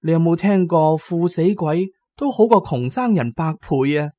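A man's voice: on the high side, normal speed, dark tone, no room sound, pitched above the performer's own voice, lightly acted. A man voicing an aggrieved, proud and coaxing female ghost inhabiting a paper doll in a horror audio drama. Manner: reproachful, persuasive.

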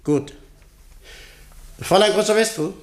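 Cold bossy company director, clipped orders, voice a bit high, radio drama. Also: male voice, measured pace. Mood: brisk, authoritative. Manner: curt, commanding, clipped orders. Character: domineering, cold male company director and power broker. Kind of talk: German radio play acting.

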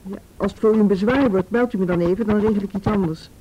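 An actress playing a calm, businesslike policewoman in a radio drama, courteous and composed, with a Netherlands Dutch accent. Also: fast, slightly rough, dull audio, close to an everyday voice. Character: calm, businesslike policewoman making phone calls. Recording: noisy radio drama recording, low rumble.

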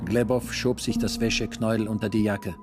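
A sombre camp survivor voiced by a male actor narrates a literary radio drama. His voice is low, with loud music playing behind it. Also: fairly even intonation, moderate pace, close to an everyday voice.

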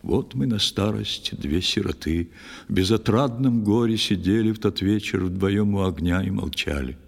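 An actor playing a sorrowful, pious old fisherman who tells his tale in expressive verse in an audio play. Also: on the low side, normal speed, gravelly. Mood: grieving, wondering. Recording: long studio reverb over music.

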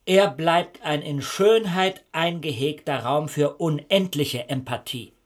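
An actor playing a proud older conductor in a radio play, speaking in a lofty, earnest, declaiming way. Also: male voice, a little high, takes it slowly.

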